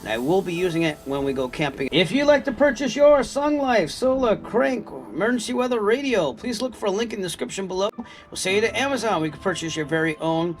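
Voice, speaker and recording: A man's voice: high voice, very animated, a little fast, faint music behind. A man giving a casual, plain-spoken product review, explaining things step by step as he talks.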